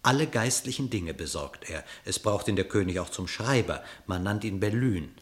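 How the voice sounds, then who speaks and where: A male narrator reads a classic verse fable for a radio play. He tells it with dramatic, storytelling expression, and his pitch rises and falls widely. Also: animated, normal speed.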